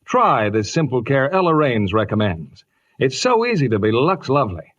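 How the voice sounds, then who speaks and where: A man's voice: medium pitch, sing-song, moderate pace, a bit bright. A man working as a formal radio announcer in 1950s style, reading scripted copy with a salesman's delivery. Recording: dull vintage radio broadcast, music behind.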